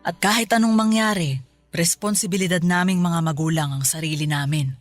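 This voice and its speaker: An actress playing a kindly middle-aged mother in a radio drama, with a Filipino accent and a gentle, reflective, measured way of talking. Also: fairly low pitch, fairly even intonation, soft background music, natural voice. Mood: earnest, reassuring. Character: kindly, reassuring mother of a student son.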